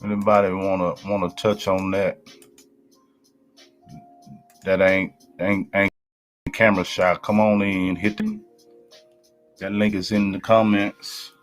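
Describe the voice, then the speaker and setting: Pastor co-host, very deep voice, colloquial and repetitive, faint music underneath. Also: male voice, level tone, takes it slowly, long pauses. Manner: rambling, colloquial, repeats himself. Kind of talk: casual live-stream chat.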